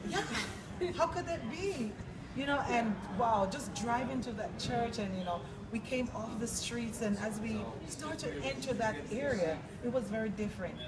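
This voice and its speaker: A woman from Jamaica, an interview guest, telling her story with expressive, animated delivery. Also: fairly high pitch, moderate pace. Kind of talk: emotional personal testimony. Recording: distant mic with loud crowd behind.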